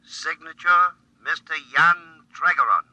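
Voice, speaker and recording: An actor playing a serenely polite automated attendant, formal and ceremonious, in 1950s radio drama style. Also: male voice, fairly high pitch, lively intonation, a little slow, sharp tone, no room sound, heavily acted. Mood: formal, obedient. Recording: tinny, dull 1950s radio sound.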